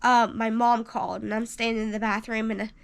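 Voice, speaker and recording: A young woman in her twenties telling a personal story to camera, animated, rambling with fillers and jumping up in pitch for quoted lines. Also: fairly quick, creaky, smooth. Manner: rambling with many fillers and restarts. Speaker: vlogger.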